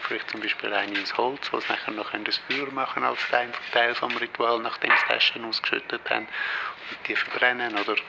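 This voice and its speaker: Man, Swiss German accent, gravelly, middle pitch, matter-of-fact explaining, background noise. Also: little pitch movement, a little fast. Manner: matter-of-fact, listing details. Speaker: undertaker. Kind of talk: explanatory interview answers.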